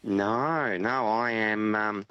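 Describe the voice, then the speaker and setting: An Australian man in his fifties calls in to a radio quiz, his voice a little croaky and low. He answers in a wry, self-deprecating way, and the sound is dry with no room around it. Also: very slow, pushed and bright, no echo, muffled recording. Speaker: caller.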